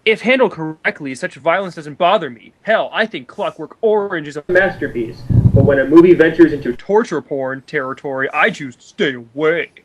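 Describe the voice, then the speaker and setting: A man's voice: fairly high pitch, moderate pace, no echo, close to an everyday voice, poor audio. A man voicing an opinionated, squeamish male film critic in a declarative dramatic reading of a review. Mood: prim disapproval.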